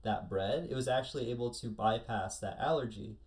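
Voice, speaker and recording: A man's voice: fairly low pitch, fairly quick, gravelly, darkish tone. A man co-hosting a casual panel discussion, telling things in a chatty, informal way. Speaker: podcast co-host.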